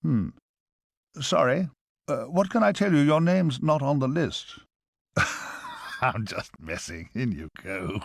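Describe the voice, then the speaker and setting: An actor playing a droll, teasing guide in a video game. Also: male voice, mid-range voice, animated, slow pace, gravelly, pauses often, no echo, studio quality, heavily acted. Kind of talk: video game character acting.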